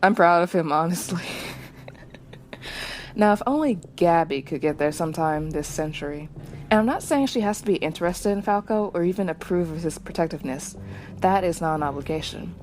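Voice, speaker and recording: Female podcast host, lower register, measured and reflective, light background noise. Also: lively intonation, a little mellow. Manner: measured, reflective, with small digressions. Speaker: podcast host reacting to anime. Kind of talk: thoughtful solo commentary.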